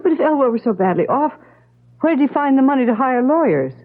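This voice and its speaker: A woman's voice: high-pitched, very animated, fast talker. An actress playing an anxious, bewildered wife of a missing man in old-time radio drama style, earnestly pressing with questions. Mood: disturbed, probing. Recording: muffled vintage radio broadcast.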